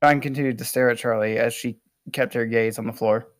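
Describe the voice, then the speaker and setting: A man narrating stage directions at an amateur table read, reading haltingly with small stumbles. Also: mid-range voice, fairly even intonation, dry recording, studio quality.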